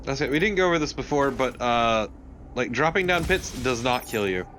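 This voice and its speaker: A man's voice: medium pitch, expressive, brisk, a bit bright, a little hiss or hum. A man co-commentating casually on a video game. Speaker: let's play co-commentator.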